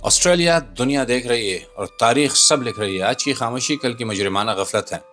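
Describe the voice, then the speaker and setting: A man's voice: medium pitch, fairly quick, a bit bright. A man delivering a scripted political commentary voice-over in a dramatic, rhetorical, emphatic manner. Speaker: video commentator and narrator. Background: music bed.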